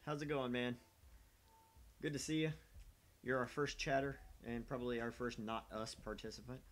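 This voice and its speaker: A man's voice: mid-range voice, level tone, moderate pace, a bit bright. Casual, self-deprecating man livestreaming. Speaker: livestream host of a small gaming channel. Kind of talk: casual rambling livestream chat.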